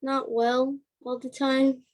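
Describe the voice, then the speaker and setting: A woman's voice: high voice, little pitch movement, slow pace, a little mellow. A shy woman chatting casually as an interview guest, with a hesitant way of talking. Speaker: interview guest, stage actress. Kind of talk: casual chat.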